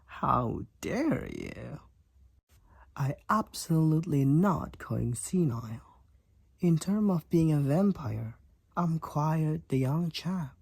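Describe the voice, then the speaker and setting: Man playing a slow, husky vampire boyfriend. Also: a little high, expressive, darkish tone, no echo, heavily acted. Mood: playful, charming. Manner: archaic, courtly phrasing, tender endearments. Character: devoted, overprotective young-looking male vampire boyfriend, 128 years old. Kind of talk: intimate boyfriend roleplay audio, scripted acting.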